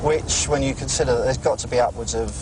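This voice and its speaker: A man's voice: medium pitch, fast talker, background noise. A man answering an interview question at length.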